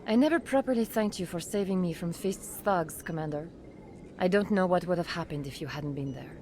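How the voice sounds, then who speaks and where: Woman voicing a gentle doctor. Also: fairly low pitch, a little fast, slight background noise, natural voice. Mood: grateful, friendly. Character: grateful female civilian doctor, gentle, earnest. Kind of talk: video game voice acting.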